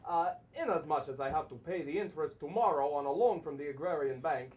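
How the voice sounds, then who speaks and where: An actor playing a boorish, pompous retired artillery lieutenant and landowner, with halting, emphatic theatrical delivery in a stage play. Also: medium pitch, darkish tone, close to an everyday voice. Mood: pompous, formal. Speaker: student actor. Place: small theater stage, far camera. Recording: distant stage recording with noise.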